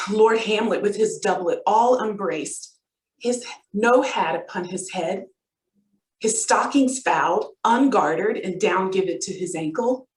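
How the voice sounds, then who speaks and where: A young woman playing a frightened young noblewoman in a Shakespearean stage monologue, with a formal, measured, dramatic delivery. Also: fairly high pitch. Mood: distressed, fearful. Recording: roomy, distant microphone.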